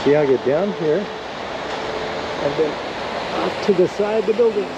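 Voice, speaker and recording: Playful man vlogging over loud outdoor noise. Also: sits high, lively intonation, measured pace. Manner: jokey, playful. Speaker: vlog host in Santa persona. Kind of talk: casual travel vlog chat. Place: outdoors in a temple garden. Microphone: action camera. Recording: loud ambient noise on action camera.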